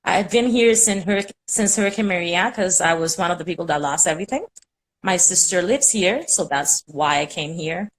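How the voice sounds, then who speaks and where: A woman's voice: lowish voice, animated, normal speed, slight background noise, low-quality recording. A young woman from Puerto Rico with a Puerto Rican accent, Spanish her first language, chatting on a video call and rambling with many fillers. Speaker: caller on a live stream. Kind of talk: casual chat.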